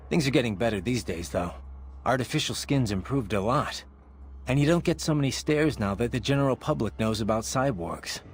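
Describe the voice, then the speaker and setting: An actor playing a brooding, cynical cyborg mercenary in a video game, gruff and terse. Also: male voice, on the low side. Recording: music behind.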